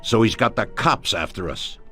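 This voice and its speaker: A grave middle-aged mafia don with a low voice. He speaks deliberately, with weighty authority, as in a video game crime drama. Music plays behind him. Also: lively intonation, a bit bright. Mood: grim, serious.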